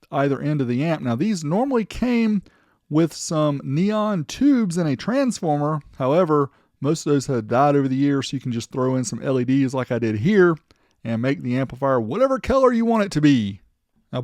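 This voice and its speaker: A man's voice: a little high, darkish tone, no room sound, studio quality. A man narrating a product showcase as the host, in a chatty, informal way. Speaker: host of a vintage car audio video channel.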